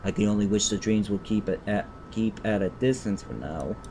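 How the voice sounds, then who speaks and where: A man's voice: lowish voice, normal speed, dark tone, a little hiss or hum. A man reading story narration aloud on a live stream, stumbling over words and repeating phrases as he goes.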